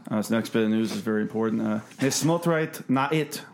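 A man's voice: on the low side, fairly quick, gravelly, a little hiss or hum. A man co-hosting a podcast, chatting casually about films and reading out entertainment news in a wry, mock-formal way.

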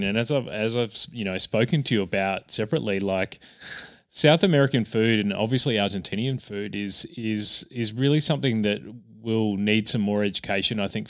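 A man's voice: lowish voice, dry recording, clean studio sound. Upbeat casual podcast host man. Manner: upbeat, casual, many fillers. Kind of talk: podcast interview.

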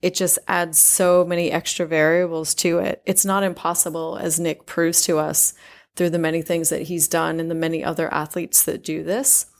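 Podcast host lady, kinda low voice, earnest chatty intro and questions. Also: gravelly. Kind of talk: podcast interview.

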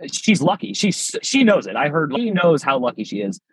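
A man's voice: on the high side, sing-song, normal speed, a bit bright, breathy edge, no room sound, poor audio. A man appearing as a podcast guest, talking candidly in a rambling way, with frequent "like" fillers. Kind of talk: casual podcast interview.

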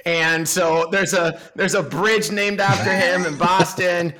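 Podcast guest: man from the Dominican Republic, higher pitch, lively, quick to laugh, repeats himself. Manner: laughing, animated, repeats words. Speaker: guest, software founder. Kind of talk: casual podcast chat.

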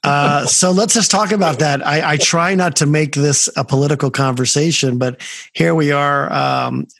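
A friendly man in his fifties hosts a podcast interview over a video call. He rambles along with plenty of fillers and restarts. His voice is gravelly, and the sound is dry and close. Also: a little high, fairly even intonation, normal speed, a bit bright, dry recording. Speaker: podcast host. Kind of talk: casual interview chat.

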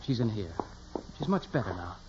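An actor playing a concerned, kindly village man, gentle and questioning, in 1940s radio drama style. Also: lowish voice, sing-song, moderate pace. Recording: muffled, very noisy old radio recording.

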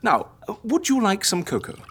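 A woman's voice: medium pitch, huge pitch swings, moderate pace, slight background noise. An actress in an audio drama playing a fussy, eccentric, sceptical woman who reassures with hesitant patience and dry wit. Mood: coaxing, fussy.

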